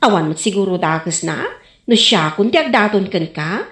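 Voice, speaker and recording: A woman's voice: mid-range voice, lively intonation, moderate pace, fairly bright tone, natural voice. A woman playing a bold, teasing young single woman in a radio comedy drama, with a Filipino accent. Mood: pushy, scolding. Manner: teasing, coquettish. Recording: echoey room sound.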